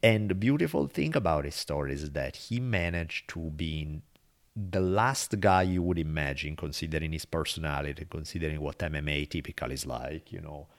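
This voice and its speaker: Deep-voiced man with an Italian accent. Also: moderate pace. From Italy. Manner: earnest, profane, emphatic. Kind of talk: casual reflective conversation.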